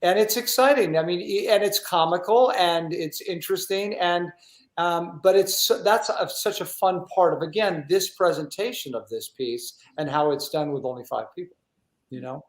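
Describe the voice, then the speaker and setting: A man's voice: sits high, normal speed. A man hosting a conversational interview in an effusive way, often repeating his phrases as he goes. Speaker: host. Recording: echoey room sound.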